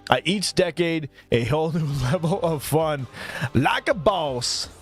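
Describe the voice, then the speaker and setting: A man's voice: on the high side, animated, unhurried, faint music behind. A man in his mid-thirties chatting casually on a live stream, rambling between topics and thanking viewers by name. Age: 30s.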